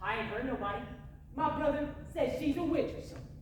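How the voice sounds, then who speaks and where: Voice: high-pitched, fast, lightly acted. A student actor on a school stage playing a taunting, gossipy small-town boy. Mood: taunting, defensive. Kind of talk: high school stage play acting. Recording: echoey auditorium from far off, noisy.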